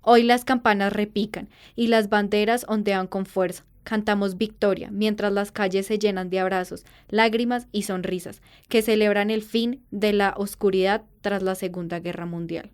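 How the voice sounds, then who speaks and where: Female wartime newsreader played by a woman: crisp, smooth voice, formal and measured, solemn radio-drama bulletin delivery. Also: medium pitch, flat delivery, lightly acted. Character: solemn female radio news reporter announcing war's end from Paris. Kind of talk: scripted radio news narration.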